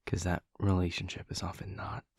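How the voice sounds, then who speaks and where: A man playing a gentle, devoted vampire lover in an intimate audio roleplay, speaking in a breathy, husky hush with slow, halting, tender pauses, close to the microphone. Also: sits low, level tone, no echo. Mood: hushed, thoughtful. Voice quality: breathy, husky, often whispering. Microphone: close microphone, dry.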